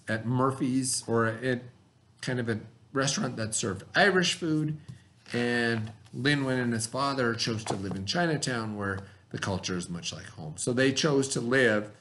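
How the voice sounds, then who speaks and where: A male teacher with a mid-pitched voice leads a read-aloud lesson. He explains things simply and step by step, in the manner of someone checking that pupils follow. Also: a bit bright. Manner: explanatory, asks and answers own questions.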